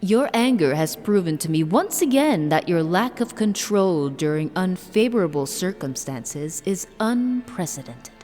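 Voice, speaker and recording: A woman playing a cold, imperious cult leader in an audio drama, speaking in a measured, solemn, menacing way. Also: fairly low pitch, expressive, warm tone. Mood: stern, cold. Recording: long hall reverb. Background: eerie horror music.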